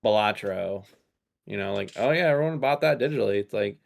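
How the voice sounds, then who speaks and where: A man's voice: fairly low pitch, lively intonation, fairly quick, gravelly. A man co-hosting a casual gaming podcast, laid-back, piling up fillers and swearing now and then. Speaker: podcast co-host. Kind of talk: casual gaming news chat.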